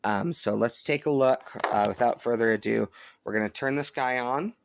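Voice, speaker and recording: A man giving a casual hands-on product review, thinking aloud in a halting way. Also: medium pitch, creaky, no echo. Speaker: host of a shortwave radio review channel. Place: indoors at home, upstairs room.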